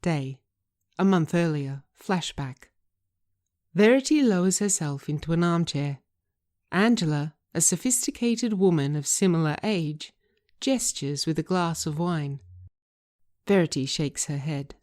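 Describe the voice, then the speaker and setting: A woman reading scripted screenplay narration and stage directions in a measured, deliberate manner. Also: low-pitched, animated, darkish tone. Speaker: writer reading own script.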